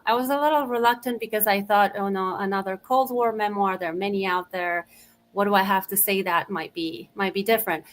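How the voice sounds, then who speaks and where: A woman author from Romania, a guest in an interview, telling her story in an articulate, measured and reflective way. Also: mid-range voice, a bit bright. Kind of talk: interview answers, personal storytelling.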